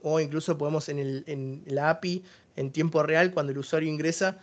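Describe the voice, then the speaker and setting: A man's voice: fairly high pitch, steady tone, a little fast, dull audio. A man with an Argentine accent giving a technical webinar presentation, explaining each service step by step.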